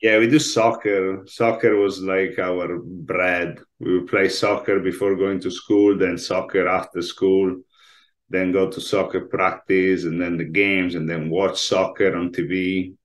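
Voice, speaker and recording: A man's voice: deep voice, little pitch movement, measured pace. A man in his forties with an Italian accent, chatting as a guest chef over a video call from home. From Italy. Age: 40s. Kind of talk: casual interview chat. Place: home room, indoors. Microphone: video call, laptop mic.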